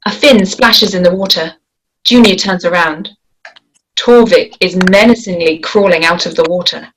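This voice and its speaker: A woman's voice: on the low side, moderate pace, a bit bright, poor audio. A woman reading stage directions as scripted narration at a table read. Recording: faint noise and clicks.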